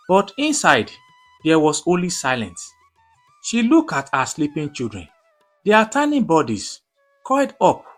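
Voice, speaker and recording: A woman narrating a scripted folktale in a dramatic, measured way, phrase by phrase. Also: on the low side. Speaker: storyteller, narrator. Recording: music under the voice. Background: background music.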